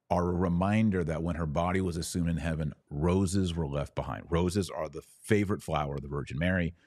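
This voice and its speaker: A man's voice: sits low, a little mellow, dry recording, clean studio sound. A man speaking as a podcast host, teaching about religion conversationally and answering questions in a didactic, emphatic way.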